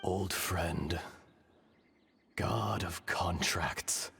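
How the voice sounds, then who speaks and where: Deep low voice, slow and weighty, grave formal dragon spirit, fantasy game character. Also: male voice, creaky, sharp tone, a little hiss or hum, full character voice. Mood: solemn. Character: ancient dying male dragon spirit, solemn and loyal.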